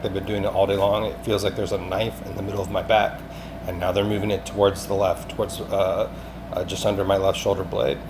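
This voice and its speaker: A man leaving a rambling voicemail, pleading in an agitated, insistent, repetitive way with some swearing. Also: deep voice. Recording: clearly noisy amateur phone-call recording.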